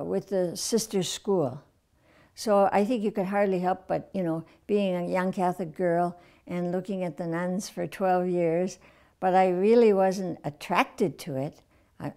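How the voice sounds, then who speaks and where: Hesitant older woman, low warm voice. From Milwaukee, Wisconsin. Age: 80s. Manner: hesitant, many fillers. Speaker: retired nun. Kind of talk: documentary interview. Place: indoor room. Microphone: lapel.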